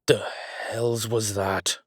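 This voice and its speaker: A man playing a commanding male incubus demon investigator in an audio roleplay, terse and colloquial, with a low, husky voice. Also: flat delivery, takes it slowly, slight background noise. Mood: urgent, alarmed. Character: gruff, urgent male incubus demon investigator, protective, wryly friendly. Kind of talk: immersive audio roleplay acting.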